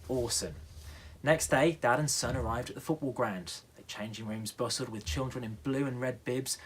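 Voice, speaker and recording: A man's voice: mid-range voice, normal speed, a little hiss or hum. A male radio newsreader narrating a children's bedtime story.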